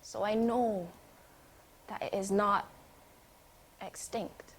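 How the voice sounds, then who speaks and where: A Barbadian woman reciting spoken word poetry with a measured, rhythmic cadence. Her voice sits at an ordinary middle pitch for a woman. She swings between forceful lines and quiet, hushed ones. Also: steady tone, slight background noise, rough recording.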